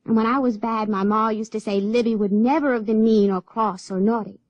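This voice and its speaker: A woman acting a young, naive but wilful country girl in a radio play. She has a fairly high voice and a rural Southern American accent, folksy and guileless. The old recording is somewhat muffled. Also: fairly even intonation, a little fast, darkish tone, no echo, natural voice. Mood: earnest. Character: naive, wilful backwoods girl, plain-spoken. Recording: dull, dry vintage radio recording.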